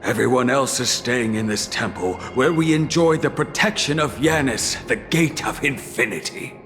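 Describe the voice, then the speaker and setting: An actor playing a stern, proud priest in a game cutscene, preachy and firm. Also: male voice, medium pitch, lively intonation, moderate pace, a bit bright, a little hiss or hum, lightly acted. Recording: echoey hall reverb.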